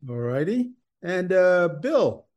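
A man's voice: high voice, very animated, takes it slowly, a little mellow, lo-fi sound. A man hosting and casually moderating a group conversation.

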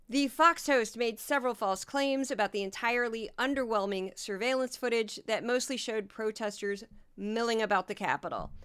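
A woman's voice: a little high, fairly bright tone, clear, resonant tone. A woman hosting a news commentary podcast, talking sardonically and directly to her listeners while she is losing her voice. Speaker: podcast host.

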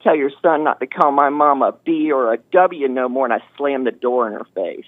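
A man in his fifties calling in, telling a story in a folksy, animated way and acting out the voices of the people in it. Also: mid-range voice, a little fast, no echo. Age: 50s. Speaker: caller. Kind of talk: casual storytelling on a call-in show. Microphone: phone. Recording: tinny phone line.

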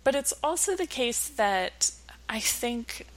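A woman's voice: a little high, expressive, slow, low-quality recording. Woman explaining as an expert guest. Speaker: researcher and professor, podcast guest.

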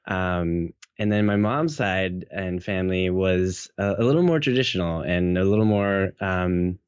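A young man from Seattle, guest in a casual interview, talking in a relaxed, hesitant way with many fillers and restarts. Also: low-pitched, measured pace, smooth, no room sound. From Seattle, United States. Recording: dull, lo-fi audio.

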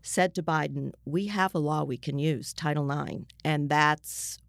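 An older American woman, a journalist being interviewed, explaining things step by step with asides in a measured way. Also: low voice, gravelly, no echo, studio quality.

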